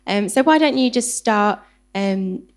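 A woman's voice: mid-range voice, lively intonation, unhurried. A woman casually interviewing a guest in front of a church, asking friendly questions.

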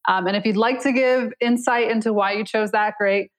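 A woman's voice: on the high side, steady tone, moderate pace. Playful woman podcast co-host. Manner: upbeat, playful, laughs between lines. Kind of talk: casual podcast hosting.

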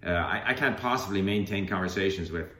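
An older man speaks in a casual conversational way. His voice sits on the low side yet has a bright, forceful edge. The room adds a noticeable echo. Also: fast. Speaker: language learner and YouTuber.

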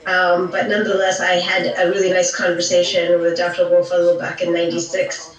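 A woman's voice: fairly low pitch, completely flat delivery, a little fast, reverberant, distant mic, rough recording. A woman in her forties who grew up in Saudi Arabia, reflectively introducing herself on a video call. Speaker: caller.

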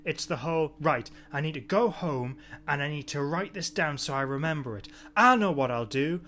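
Brief: man, somewhat high-pitched, exasperated and sarcastic, casual podcast co-host. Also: normal speed. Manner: exasperated, sarcastic, animated complaining. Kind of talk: casual podcast chat reviewing a TV episode.

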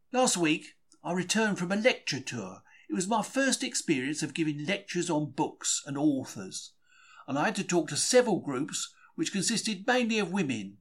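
A man's voice: on the high side, fairly bright tone. A man reading a diary as a quaint, chatty, plain-spoken 1940s Cockney bookseller. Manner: colloquial, self-regarding, plain-spoken, exclamatory. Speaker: reader of a diary. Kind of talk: dramatised first-person diary reading.

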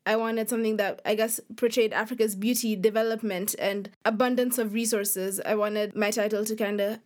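A woman's voice: mid-range voice, steady tone, moderate pace, darkish tone. A young woman answering interview questions as an author, in a casual, youthful way with lots of "like". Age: young adult. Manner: youthful, informal, frequent "like".

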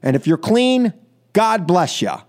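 A high-voiced American man hosts a political radio show. He delivers his opinions as an emphatic, scolding monologue. His points come in short phrases that he repeats for force. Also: big pitch swings, unhurried, darkish tone. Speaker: radio talk show host.

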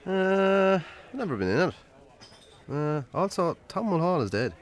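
An actor with an Irish accent playing a weary, lonely farmer in a naturalistic radio drama, plain-spoken and wry. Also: male voice, high voice, big pitch swings, a little slow. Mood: sombre, matter-of-fact.

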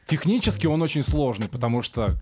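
A man in his early thirties hosting a casual conversation in an informal, musing way, as if thinking out loud. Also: medium pitch, animated, fairly quick. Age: early 30s. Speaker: host of a conversational show. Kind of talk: casual chat, discussion of art and culture. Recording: music playing clearly behind the voice. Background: music.

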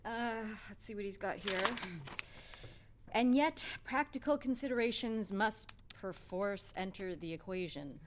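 An actress playing a critical female office colleague in a satirical audio drama, speaking in a dry, measured way. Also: medium pitch, natural voice.

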